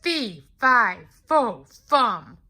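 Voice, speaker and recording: A woman's voice: on the high side, animated, pushed and bright, full character voice. A woman voicing a big, hungry male giant who tells his side of a storybook tale in an aggrieved, exclamatory way. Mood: blustering. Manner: exclamatory, indignant, deliberate storyteller. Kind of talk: expressive children's storybook read-aloud.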